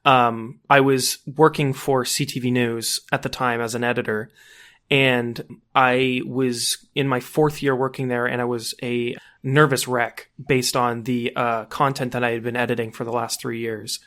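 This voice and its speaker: A man co-hosting a podcast, chatting casually in a halting way and often repeating words as he goes. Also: medium pitch, level tone, fairly bright tone. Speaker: podcast co-host. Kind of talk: casual chat.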